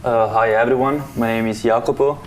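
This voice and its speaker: A man's voice: mid-range voice, a little fast, a little hiss or hum. A nineteen-year-old man, a student speaking casually with lots of "like". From Italy. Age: late teens. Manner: relaxed, lots of "like". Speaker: student panelist. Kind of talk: casual panel talk.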